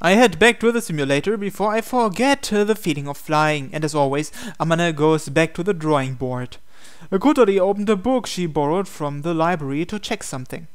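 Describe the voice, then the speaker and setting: A man's voice: on the high side, fairly quick, clear, resonant tone. A man reading a visual novel aloud in a narrated let's play, adding asides and occasionally stumbling over the text. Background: game music.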